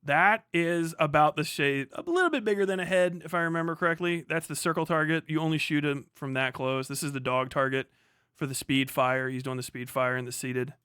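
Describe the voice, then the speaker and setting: A man's voice: a little high, brisk, bright, forceful tone, clear, resonant tone, dry recording. A middle-aged man giving casual, rambling reaction commentary as a livestream host, full of slang and swearing. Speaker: livestream host, former Marine.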